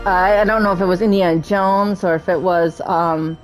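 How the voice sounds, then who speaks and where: A woman's voice: fairly low pitch, fairly even intonation, normal speed, soft background music, rough recording. A woman giving casual let's-play commentary, thinking aloud as she plays and talking to the game's characters. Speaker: gaming streamer narrating her play.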